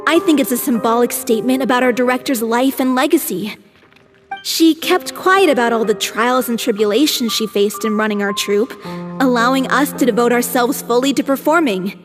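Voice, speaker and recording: An earnest young troupe singer who lives with a lasting illness , voiced by a woman, speaking a little high. Her voice carries a long reverb, with faint music under it. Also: moderate pace, lightly acted. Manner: earnest, scolding when worried. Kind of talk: game cutscene dialogue.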